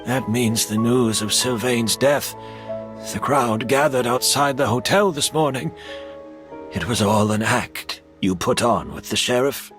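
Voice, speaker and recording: A man playing a proud, weary older master perfumer turned unrepentant culprit in a video game, slow and wry in manner. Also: medium pitch, close to an everyday voice. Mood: wry resignation. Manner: slow, deliberate, theatrical, wry. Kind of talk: dramatic video game voice acting. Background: background music.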